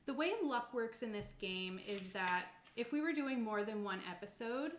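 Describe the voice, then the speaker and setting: A woman's voice: on the high side, lively intonation, normal speed, slight background noise. Female game master. Casual, apologetic rules talk, recorded in a reverberant room. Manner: informal, apologetic, easygoing. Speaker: game master running a tabletop roleplaying game. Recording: roomy, echoing room sound.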